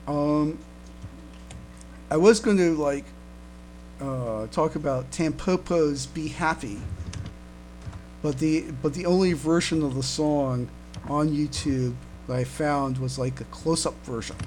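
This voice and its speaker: A man co-hosting a casual fan podcast, speaking haltingly and often repeating his words as he comments. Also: a little high, darkish tone. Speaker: podcast co-host. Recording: noisy home recording with hum.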